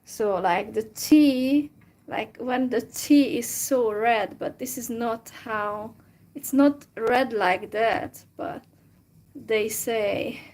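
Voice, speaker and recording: A young woman from Central Europe chatting casually to her viewers in a halting way, with many fillers and restarts. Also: on the high side, darkish tone. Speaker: vlogger. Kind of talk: casual solo chat to viewers.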